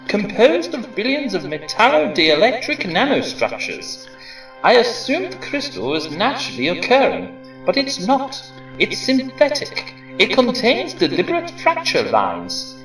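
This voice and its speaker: A woman's voice: mid-range voice, fairly bright tone. A woman playing an earnest robot scientist in an audio drama, explaining things intently. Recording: roomy, distant mic, faint music.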